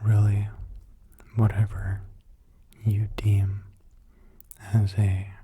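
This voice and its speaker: A man softly guiding a meditation in a hushed, breathy, mostly whispered ASMR voice, soothing, in short halting phrases. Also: fairly low pitch, completely flat delivery. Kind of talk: soft-spoken ASMR guided meditation.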